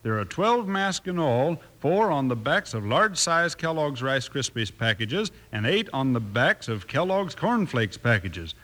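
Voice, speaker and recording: An American man doing a folksy, enthusiastic 1950s radio advertising pitch aimed at children. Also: sing-song, a little slow, few pauses, a bit bright. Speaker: radio ad co-announcer.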